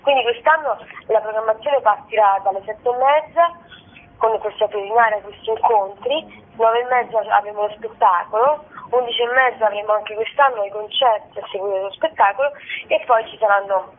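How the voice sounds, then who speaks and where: A woman giving a spontaneous, enthusiastic radio interview as a festival co-director, wordy and halting, with repeated words. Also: a little high. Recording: tinny, narrow telephone line on radio.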